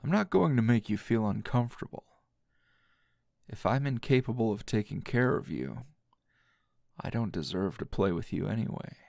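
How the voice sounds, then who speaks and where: A man's voice: on the low side, lively intonation, normal speed, gravelly, long pauses, darkish tone, dry recording, studio quality. A man playing a teasing, dominant, seasoned anime hero as a tender lover in an intimate ASMR roleplay, murmuring breathily and slipping into whispers. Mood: teasing, commanding.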